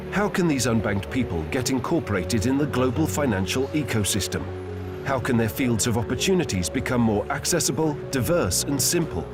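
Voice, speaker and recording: Steady male documentary narrator. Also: mid-range voice. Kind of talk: scripted narration. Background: music.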